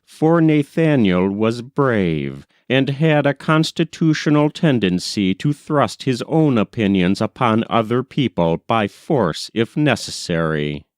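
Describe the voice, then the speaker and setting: Male narrator, slow pace, lively pitch movement, measured literary storytelling, scripted audiobook reading. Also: clear, resonant tone, dry recording, clean studio sound. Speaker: audiobook reader.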